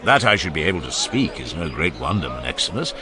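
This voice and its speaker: Socrates, a wry old philosopher. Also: male voice, very deep voice, big pitch swings, fast, a crowd in the background. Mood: ironic. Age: older. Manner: ironic, teasing, expansive. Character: Socrates, older male philosopher, wry and ironic. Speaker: voice actor. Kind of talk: dramatized audiobook reading.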